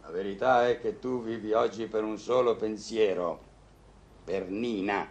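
An actor playing a teasing, insistent doctor and confidant in a vintage Italian radio comedy, theatrical and emphatic. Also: male voice, on the low side, steady tone, measured pace.

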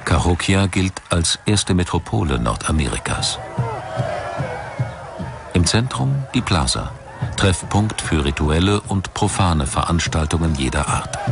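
A man reading scripted documentary narration in a measured, articulate manner. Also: low-pitched, vocal fry.

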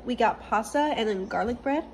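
A young woman in college chatting casually to her phone camera in a relaxed vlog, upbeat and full of fillers. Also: a little high, moderate pace, slight background noise, poor audio. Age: young adult. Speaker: college student vlogger. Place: dorm room and outdoors. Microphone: phone held at arm's length.

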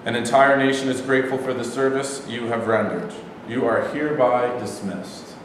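A young actor as a formal brigadier general delivers a measured, declamatory ceremonial speech. The pitch is average for a man. The room has a long, heavy echo. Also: fairly even intonation, lightly acted. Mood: solemn, formal. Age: young adult. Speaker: actor in a staged reading. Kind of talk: ceremonial speech in a play reading. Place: bare indoor room, standing. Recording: very echoey hall, faint noise.

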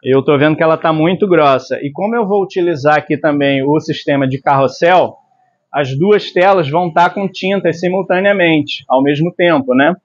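A man's voice: on the high side, moderate pace. A man in his forties from Brazil teaching screen printing in his workshop. He explains step by step while mixing inks and keeps checking in with the viewer. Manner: explanatory, tag questions like "tá?". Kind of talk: hands-on tutorial. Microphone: lapel mic. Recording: slightly dull lo-fi sound.

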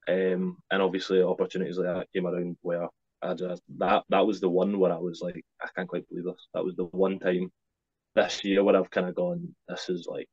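A man's voice: sits low, monotone, brisk, darkish tone, breathy edge, no echo. A nineteen-year-old man, answering interview questions hesitantly with many ums, on a video call from a small room at home. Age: young adult, 19. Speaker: wrestler, guest. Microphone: webcam on a video call. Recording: slightly dull lo-fi call audio.

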